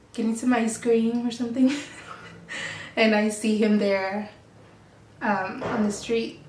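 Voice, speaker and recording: A woman in her early twenties from Mexico, co-hosting a casual Q&A vlog while sick, chatty and giggly. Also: mid-range voice. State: sick, voice affected. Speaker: vlog co-host. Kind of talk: casual Q&A chat. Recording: echoey room, far from the mic.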